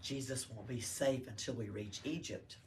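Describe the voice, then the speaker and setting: A woman voicing a grave, protective husband in a storybook, speaking softly and urgently in a breathy hush. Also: very deep voice, moderate pace, pitched below the performer's own voice. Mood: hushed urgency. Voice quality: soft, hushed, breathy whisper. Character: kind-eyed man, urgent and wary protective husband. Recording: faint background room noise.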